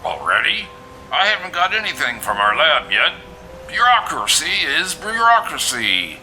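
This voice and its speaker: An actor playing a gruff, businesslike police commissioner in an audio drama, speaking in an official manner. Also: male voice, fairly high pitch, big pitch swings, unhurried, bright, forceful tone, soft background music, exaggerated voice, rough recording.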